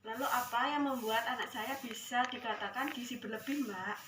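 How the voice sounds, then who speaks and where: A woman plays a polite, slightly puzzled working mother in her late twenties. The role-play dialogue sounds rehearsed, recorded in an echoey room with clear background noise. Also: on the high side, moderate pace, close to an everyday voice.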